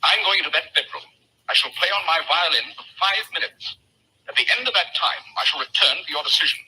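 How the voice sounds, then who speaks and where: An actor playing a shrewd, commanding, coolly mocking British detective in an old-time radio mystery, with crisp, theatrical delivery. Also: male voice, on the high side, expressive, quick pace, slight background noise, low-quality recording. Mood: brisk, commanding. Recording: old radio recording, bright and rough.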